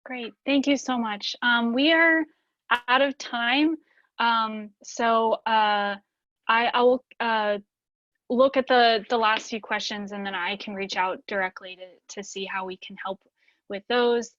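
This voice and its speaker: A woman's voice: a little high, steady tone, a little slow, fairly bright tone, no echo, highs cut off. A woman moderating a webinar Q&A, polite and hesitant with frequent ums.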